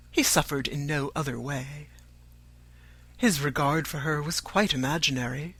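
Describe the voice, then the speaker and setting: An audiobook narrator reading a classic novel in a formal, measured storytelling manner. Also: mid-range voice, fairly bright tone, slightly rough, dry recording. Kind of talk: scripted literary narration.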